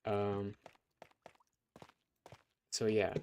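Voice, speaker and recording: A man's voice: lowish voice, monotone, drawn-out words, darkish tone, clean tone. A young man casually chatting on a live stream as its host, rambling with fillers and restarts, his voice getting scratchy. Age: young adult. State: voice going scratchy.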